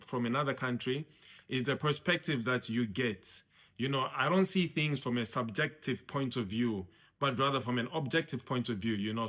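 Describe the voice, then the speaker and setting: Bright-voiced man lecturing to camera. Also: medium pitch. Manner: lecturing, repeated "you know", "guys". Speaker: commentator. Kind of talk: opinion monologue.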